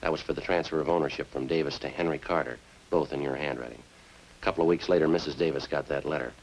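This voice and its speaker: Very deep-voiced, deadpan police sergeant. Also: male voice, fast talker, a little hiss or hum, poor audio. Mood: dry, pressing. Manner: clipped, deadpan, matter-of-fact. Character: terse, unflappable male police sergeant narrating his case. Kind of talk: 1950s radio police drama acting.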